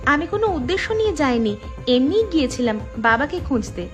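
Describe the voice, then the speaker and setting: Young, dramatic daughter-in-law. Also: female voice, high-pitched, very animated, brisk, soft background music. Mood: meek, pleading. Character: educated young daughter-in-law, defiant amateur sleuth. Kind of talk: cartoon drama acting.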